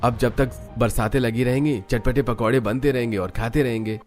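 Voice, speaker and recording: A man's voice: medium pitch, fairly even intonation, normal speed, soft background music, close to an everyday voice. A man voicing a practical young family man in a cartoon domestic story. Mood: matter-of-fact.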